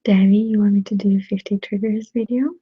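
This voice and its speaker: Breathy whispering woman with a Russian accent. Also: mid-range voice, steady tone, fairly quick, no echo, dull audio. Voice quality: soft, breathy, mostly whispered. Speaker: ASMR creator hosting a live stream. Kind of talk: ASMR, casual chat answering viewers. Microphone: sensitive close microphone.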